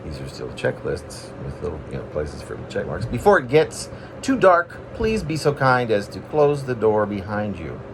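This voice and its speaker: A man's voice: on the low side, very animated, normal speed, noisy background. A man reading his own poems in an informal, rambling way, with self-deprecating asides and playful drama. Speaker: poet reading own work.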